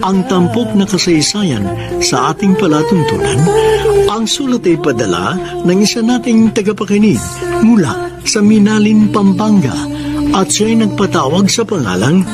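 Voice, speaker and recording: A man's voice: sits high, sing-song, moderate pace. A man narrating a radio love drama as its solemn, sentimental host, in a scripted style. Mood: solemn, earnest. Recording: loud music under the voice.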